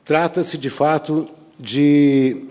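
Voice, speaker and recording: A man chairing a session, formally introducing the next speaker in a ceremonious, halting way. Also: fairly high pitch, darkish tone. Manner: ceremonious, hesitant, with pauses. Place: conference auditorium. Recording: reverberant lecture hall.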